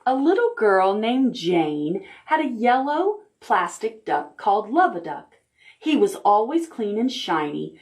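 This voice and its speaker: Woman, children's librarian, reading aloud, unhurried, asks the kids questions. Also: fairly low pitch. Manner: gentle, deliberate storytime delivery. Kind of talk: reading picture books aloud to preschoolers.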